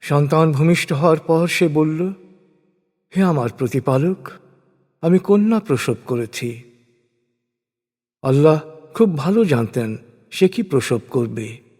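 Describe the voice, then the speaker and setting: A man narrates sacred text in a slightly raised register. His delivery is slow and reverent, with deliberate pauses between phrases. The voice rings out in a long, hall-like reverb. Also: on the high side, animated, a little mellow. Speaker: narrator of a scripture translation. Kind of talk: solemn scripted religious recitation in prose.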